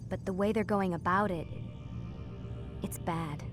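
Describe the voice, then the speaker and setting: An actress playing a guarded, earnest young woman who explains things in a hushed, confiding way. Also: lowish voice, measured pace, music in the background, natural voice. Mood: earnest, explaining. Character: guarded, earnest young woman working inside a secretive company.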